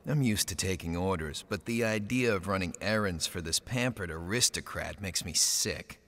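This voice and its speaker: A man's voice: deep voice, moderate pace, fairly bright tone. A man playing a hot-headed, sardonic commander and former pirate in a video game, with a gritty, terse delivery. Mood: brooding. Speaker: game protagonist and narrator. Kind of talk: dramatic game cutscene acting with brooding narration.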